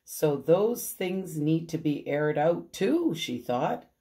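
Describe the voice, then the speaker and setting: A woman in her fifties reading a children's story aloud expressively, deliberately, with questioning asides to young listeners. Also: deep voice, animated, warm tone. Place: small room at home. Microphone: phone at arm's length.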